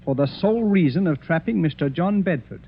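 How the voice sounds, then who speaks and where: An actor playing a proud, egotistic detective who speaks in a boastful, commanding way, in 1940s radio acting style. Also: male voice, a little high, animated, a little fast, vocal fry, slight background noise. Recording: tinny phone-like old radio recording with music.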